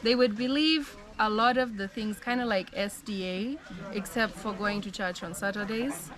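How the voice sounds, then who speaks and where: A young American woman telling her life story in a relaxed, chatty way, with frequent "um" and "like". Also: medium pitch, moderate pace, a crowd in the background, rough recording. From United States. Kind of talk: casual storytelling.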